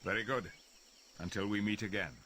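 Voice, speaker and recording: A man playing a composed, authoritative professor, briefing his team in a measured, formal way in a video game. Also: lowish voice, pushed and bright, a little hiss or hum. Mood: calm. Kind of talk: video game cutscene acting.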